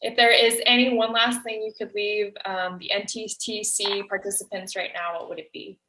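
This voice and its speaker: A woman's voice: medium pitch, level tone, normal speed, sharp tone, poor audio. A woman interviewing someone, asking questions and giving short encouraging reactions. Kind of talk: recorded interview.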